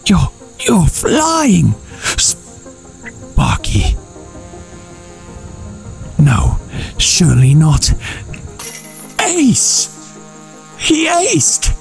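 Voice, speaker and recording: A playful man talks through his round, swinging from casual chat into mock-dramatic narration. His voice is lively and slow, and music plays underneath him. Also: sits high, vocal fry. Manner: playful and jokey. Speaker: disc golf vlogger.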